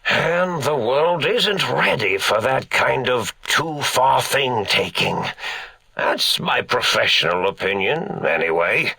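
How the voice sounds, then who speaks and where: An actor voicing an eccentric, absent-minded old mad scientist in a video game, with a theatrical delivery. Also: male voice, medium pitch, lively intonation, slow pace, creaky, sharp tone, slightly rough, no room sound, heavily acted. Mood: dotty, amused. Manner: rambling, stammering, theatrical self-corrections.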